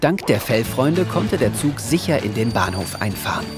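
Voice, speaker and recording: A male storyteller voiced by an actor narrates a children's audio play. His delivery is animated, with wide intonation, and music plays clearly behind him. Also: medium pitch, moderate pace, lightly acted. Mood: storytelling.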